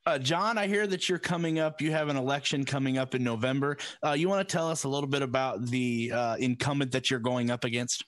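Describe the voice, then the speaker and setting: A man hosting an informal call-in show, giving halting, step-by-step instructions. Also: mid-range voice, fairly bright tone, dry recording. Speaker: talk show host coaching a caller.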